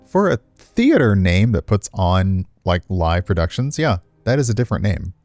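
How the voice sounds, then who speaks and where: A man with a low, relaxed voice streams a story game in a chatty way. His pitch moves around a lot as he reads and reacts, and the sound is close and dry. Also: normal speed, no room sound, faint music behind. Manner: chatty, expressive, reacts with asides to what is read. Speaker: streamer, let's player. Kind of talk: casual commentary while reading a visual novel aloud.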